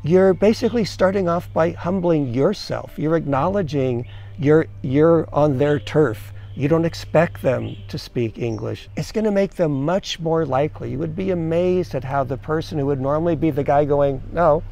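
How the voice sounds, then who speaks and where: A man's voice: on the high side, normal speed, creaky, warm tone. A middle-aged man from the United States, an expat giving earnest, conversational advice to viewers and asking them questions. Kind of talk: casual advice to camera.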